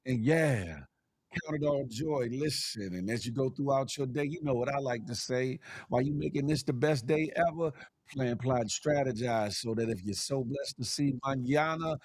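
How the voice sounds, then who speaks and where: A man hosting a live morning show with a scratchy, strained voice. He talks in a lively, encouraging way, with big swoops in pitch and a preacher's ring. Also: moderate pace, no room sound.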